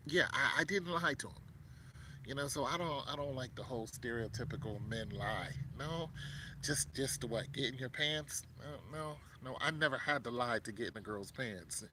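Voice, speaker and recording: A man's voice: mid-range voice, normal speed, pushed and bright, a little hiss or hum, rough recording. A man in his fifties talking casually to a viewer, rambling with many fillers and restarts. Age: 50s. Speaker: vlogger.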